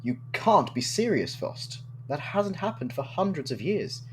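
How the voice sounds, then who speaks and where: A man's voice: on the high side, unhurried. A man playing a cautious, courteous councillor in an audio drama, speaking formally and expressively. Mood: doubtful. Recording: noisy recording with steady hum.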